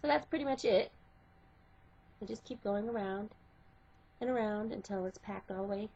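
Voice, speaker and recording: Congested husky woman explaining hair steps. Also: medium pitch, moderate pace, a little mellow. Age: middle-aged. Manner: step-by-step, conversational, with fillers. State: congested from a cold. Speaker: hairstylist. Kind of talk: casual hair tutorial. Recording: dull lo-fi home recording.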